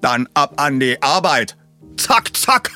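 Man acting a pompous excitable professor, swooping intonation. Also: a little high, slow pace, faint music behind, pitched above the performer's own voice, heavily acted. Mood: commanding. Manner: pompous, emphatic, bossy. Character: eccentric, excitable, commanding male professor. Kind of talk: audiobook character reading.

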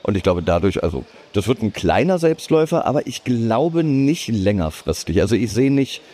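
A man co-hosting a casual gaming talk, relaxed and a little teasing. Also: on the low side, normal speed. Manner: relaxed, teasing, frequent backchannel agreement. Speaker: podcast co-host. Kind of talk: casual chat about games.

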